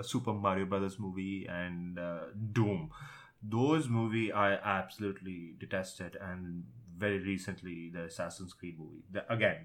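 A man's voice: sits low, a bit bright. A man co-hosting a casual chat, giving blunt, opinionated takes. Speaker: podcast co-host. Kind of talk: casual chat about films and games.

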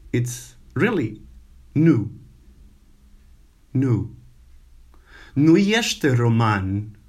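Slow, halting non-native male teacher. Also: mid-range voice, a little mellow. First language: not English. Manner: slow, halting, many fillers and repetitions. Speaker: language teacher. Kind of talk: informal language lesson.

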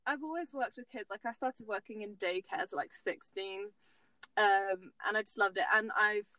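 A woman's voice: mid-range voice, moderate pace, sharp tone, no room sound, poor audio. Young woman, English accent, chatty, laughs often, dry close sound. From Netherlands. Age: 20s. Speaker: podcast guest. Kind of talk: casual chat.

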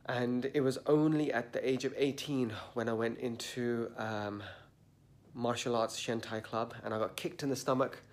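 A man with a mid-range voice and a slightly blocked nose delivers a reflective motivational monologue. He speaks earnestly and deliberately, leaning on key words. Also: medium pitch, steady tone, darkish tone. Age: adult, a parent. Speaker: motivational podcast host. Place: sitting indoors at dawn.

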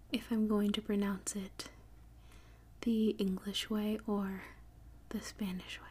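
A woman podcast host whispering softly in an ASMR style, breathy and unhurried, reading out and repeating words. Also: medium pitch. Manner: soft, unhurried, repeats and spells words slowly.

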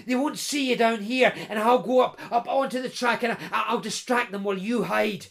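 A woman's voice: a little high, steady tone, normal speed, creaky. A woman doing an anxious runaway bride. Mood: urgent. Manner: urgent, worried.

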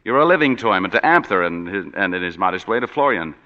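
An actor playing a wisecracking, hard-boiled private detective with dry, clipped narration, in 1940s radio acting. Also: male voice, low voice, lively intonation, quick pace, bright, forceful tone. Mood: accusing. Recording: dull reverberant 1940s radio broadcast.

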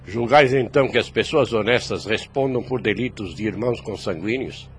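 An actor playing a calm, shrewd priest in his fifties in a radio drama, speaking formally and paternally with a Brazilian accent. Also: fairly low pitch, moderate pace, natural voice. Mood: firm, serene. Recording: clear background noise.

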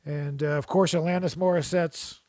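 Jokey, rambling man with a higher voice. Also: fairly even intonation, moderate pace, mellow, no room sound, studio quality. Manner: rambling, jokey, restarts often. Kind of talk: casual chat.

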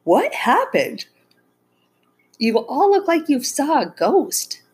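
A woman voicing an alarmed, protective mother who is quick to scold, in a children's storybook. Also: sits high, big pitch swings, voice pushed up, exaggerated voice. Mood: concerned.

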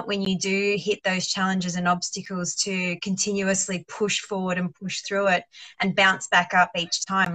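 Bright-voiced woman interviewer. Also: mid-range voice, low-quality recording. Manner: encouraging, motivational phrasing. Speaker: host, interviewer.

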